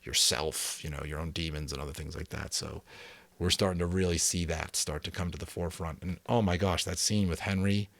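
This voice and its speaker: A man's voice: deep voice, a little fast, creaky, no room sound. A man narrating a novel as a dramatic audiobook reading, then chatting casually to his viewers with fillers. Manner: expressive reading, casual rambling asides with fillers. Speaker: audiobook narrator and channel host.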